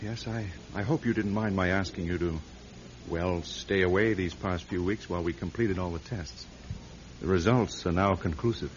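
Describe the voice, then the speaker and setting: An actor playing a brisk, earnest hospital doctor in a radio drama, formal and authoritative. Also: male voice, deep voice, natural voice. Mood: grave, measured. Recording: dull radio recording with faint noise.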